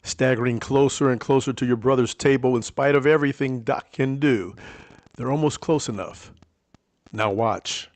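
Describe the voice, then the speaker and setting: A man plays an unflappable British gentleman in a vintage radio crime serial. His mid-pitched voice is terse, polite and understated, recorded close and dry. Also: normal speed, no room sound, natural voice. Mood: watchful. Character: unflappable, clipped English gentleman sidekick named Reggie. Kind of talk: 1940s radio drama acting.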